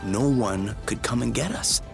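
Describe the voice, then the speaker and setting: A man's voice: mid-range voice, a little slow, vocal fry, soft background music. Easygoing, earnest young male partner. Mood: thoughtful. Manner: casual, tentative, earnest. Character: easygoing, reassuring young man, hopeful partner. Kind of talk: video game cutscene acting.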